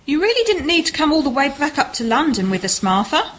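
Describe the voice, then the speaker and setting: Radio mystery heroine, played by a woman: very high, lively voice, married lady with a gentle dry tease. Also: quick pace, slight background noise. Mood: light teasing. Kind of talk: amateur radio play acting. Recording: amateur community radio recording.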